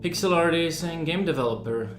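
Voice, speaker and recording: A man from Slovenia chats easily about his work, with a mid-pitched voice and the odd filler. The room echoes, and music plays softly beneath. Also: brisk. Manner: relaxed, chatty, with fillers. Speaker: pixel artist and game developer. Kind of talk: casual interview answers. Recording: roomy sound with music underneath.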